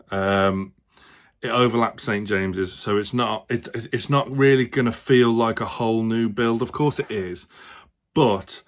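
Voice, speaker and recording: A man's voice: on the low side, a bit bright. A man presenting on a football fan channel, talking casually to viewers and thinking aloud as he gives his opinion. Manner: hesitant, thinking aloud, hedging.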